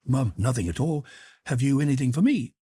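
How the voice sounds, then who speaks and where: Man doing a naive young lad, cheerful and simple, husky, storybook. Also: medium pitch, lively intonation, brisk, mellow, dry recording, clean studio sound, pitched above the performer's own voice. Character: simple-minded young male suitor.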